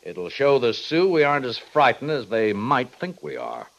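An American actor playing a firm, by-the-book army major, an authoritative older officer in a 1950s radio western. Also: male voice, medium pitch, wildly swooping pitch, creaky, a bit bright, exaggerated voice. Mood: genial. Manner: authoritative, measured, military. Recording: dull lo-fi 1950s radio recording.

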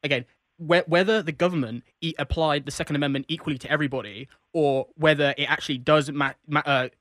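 A man's voice: a little high, fairly even intonation, fast, a bit bright, dry recording, clean studio sound. A man with a Jamaican accent arguing insistently on a radio talk show, often repeating himself as he pushes his point. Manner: insistent, interrupts, repeats words. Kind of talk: heated radio debate.

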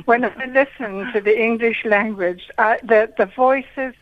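A woman calling into a radio show, polite and measured. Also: on the high side, no echo. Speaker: radio caller. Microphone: telephone line. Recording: tinny phone line.

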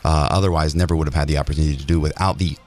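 Low-voiced guy from illinois, band dude on the radio, chatty and keen, telling stories in a studio. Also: steady tone, very fast, darkish tone, a little hiss or hum. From Illinois, United States. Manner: chatty, enthusiastic storytelling. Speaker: band musician, interview guest. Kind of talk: casual radio interview.

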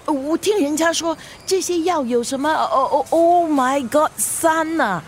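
A woman's voice: high voice, measured pace, a little hiss or hum. A woman playing a homely, well-meaning, nagging elderly grandmother with a Singaporean accent in a Mandarin radio drama. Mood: eager. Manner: homely, naggy.

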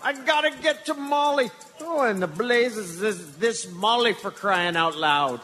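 An actor playing a crotchety old backwoods trapper, folksy and exclamatory, in a comic radio play. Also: male voice, high voice, animated, a little slow, sharp tone, faint music behind, heavily acted. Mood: exasperated. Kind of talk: comic radio theatre acting. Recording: live hall reverb, faint noise.

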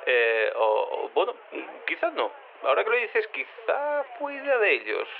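A man's voice: sits high, wildly swooping pitch, unhurried, creaky, exaggerated voice. An actor playing a confused male cat turned human, hesitant and stammering, rambling as an interviewee in an audio drama over a telephone line. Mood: unsure, rambling. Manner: hesitant, stammering, self-contradicting. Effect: telephone filter. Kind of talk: audio fiction acting as an interviewee. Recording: tinny phone line with noise.

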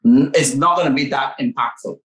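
A man's voice: mid-range voice, a little fast, fairly bright tone. A man co-hosting a Bible talk show, speaking emphatically and repeating words to drive his points home. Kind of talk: preacherly faith talk. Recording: roomy echo.

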